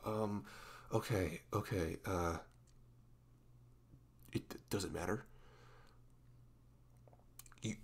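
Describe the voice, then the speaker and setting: A man playing a sweet, goofy, nervous young boyfriend in an intimate ASMR roleplay, halting, flustered and stammering as he talks. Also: fairly low pitch, creaky, husky, heavily acted. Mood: nervous, apologetic. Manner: halting, flustered, stammering, apologetic, often giggly.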